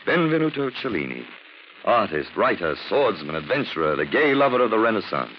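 An actor playing an urbane, erudite detective with florid, gently ironic speech, in 1940s radio drama style. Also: male voice, on the low side, very animated, a little fast. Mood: lofty, lyrical. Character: suave, erudite gentleman detective, dryly mocking and theatrical. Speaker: detective and narrator. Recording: muffled, noisy 1940s radio recording.

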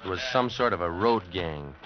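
A man's voice: deep voice, animated, moderate pace. An actor playing a hard-luck American drifter who tells his story in a terse, brooding 1940s noir radio style. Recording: dull vintage radio recording. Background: dramatic music.

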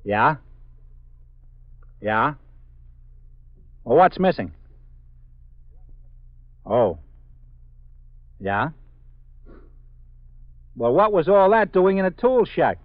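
A dry, slightly muffled vintage radio recording features a male police sergeant. His highish voice moves freely up and down in pitch, practical and level-headed in the 1950s drama manner. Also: sing-song, very slow, long pauses, no echo, full character voice. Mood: businesslike. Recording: dull, band-limited 1950s radio recording.

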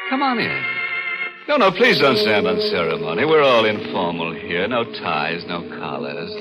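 An actor playing a ghoulish, mock-genteel horror host with sly, theatrical 1940s radio delivery. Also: male voice, sits low, sing-song, moderate pace, rough recording. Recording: old radio recording, loud music behind.

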